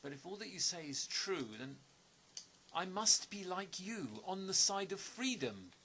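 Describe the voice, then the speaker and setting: Bright-voiced, hesitant, sceptical stranger, a man acting. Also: high voice, very animated, unhurried, a little hiss or hum, heavily acted. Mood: challenging. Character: bewildered, sceptical amnesiac stranger, a writer. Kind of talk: audio play acting.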